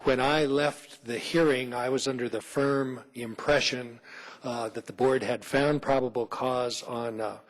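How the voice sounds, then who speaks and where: A man with a rough, hoarse voice from laryngitis, an attorney making a formal argument before a licensing board, with many fillers. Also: medium pitch, rough recording. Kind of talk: formal legal argument at a hearing. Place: city licensing hearing room.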